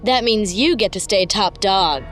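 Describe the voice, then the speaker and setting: A woman's voice: mid-range voice, a little slow, faint music behind, lightly acted. A woman playing a taunting, cackling young female gang member in an audio drama. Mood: gleeful. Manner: mocking, cackling.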